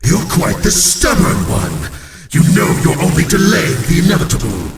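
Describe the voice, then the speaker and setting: A man's voice: high voice, very animated, moderate pace, creaky, soft background music, heavily acted. A man playing a grandiose, vengeful monster villain in a horror game, pompous and menacing, speaking in archaic, theatrical language. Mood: furious. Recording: echoey reverberant hall, distant, loud noise.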